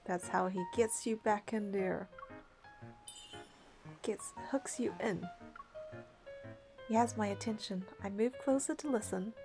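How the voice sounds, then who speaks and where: A woman's voice: mid-range voice, slow pace, gravelly, long pauses, over music. A woman reading game narration and dialogue aloud, with casual commentary of her own.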